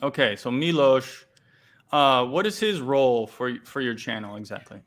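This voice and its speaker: A man's voice: medium pitch, moderate pace, fairly bright tone. A man hosting a casual interview, asking questions with many fillers and restarts. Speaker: interview host.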